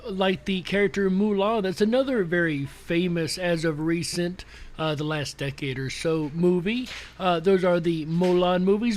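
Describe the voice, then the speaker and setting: A man's voice: high voice, slight background noise. A man hosting a show in a casual, conversational showman's style, speaking directly to his listeners. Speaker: show host. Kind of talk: casual live show commentary to audience. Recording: music playing behind the voice. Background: background music.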